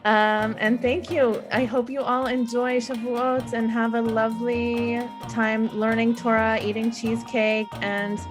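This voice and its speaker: A woman hosts an informal live stream with thanks and announcements. Her voice is on the high side and her delivery halting, with music clearly audible behind it. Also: little pitch movement. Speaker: host of online children's event.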